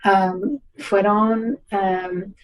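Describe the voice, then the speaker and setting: Una mujer de unos cuarenta años, criada en Estados Unidos con el portugués como primera lengua, responde en una entrevista con pausas y muletillas mientras busca las palabras. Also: female voice, medium pitch, steady tone, poor audio. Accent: mixed Portuguese and American. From United States, New Jersey. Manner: thoughtful, pauses with fillers while searching for words. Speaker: interview guest, pharma executive. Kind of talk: conversational interview answers.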